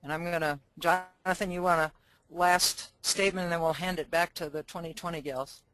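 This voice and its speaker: A man's voice: on the high side, fairly even intonation, brisk, fairly bright tone, no echo. A man, a fire chief, presenting a webinar in a friendly, informal way with many fillers.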